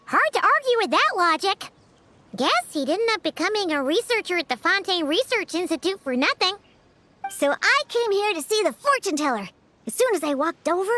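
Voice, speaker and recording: A woman's voice: very high-pitched, slight background noise, exaggerated voice. A woman voicing an earnest, easily flustered, chatty young girl in a video game, with music behind her. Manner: excitable, chatty, indignant. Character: earnest, flustered, high-voiced girl who mixes drinks. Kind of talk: animated video game voice acting.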